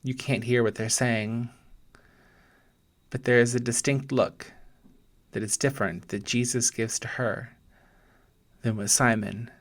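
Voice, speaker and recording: Slow-paced man leading guided meditation. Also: medium pitch, fairly even intonation. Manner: gentle, deliberate, pausing between short phrases.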